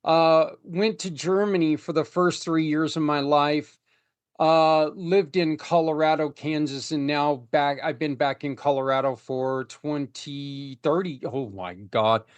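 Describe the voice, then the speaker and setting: Higher-voiced man hosting, dry close sound. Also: steady tone, a little slow, smooth, no echo, dull audio. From United States. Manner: joking, rambling. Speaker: host. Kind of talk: casual interview conversation.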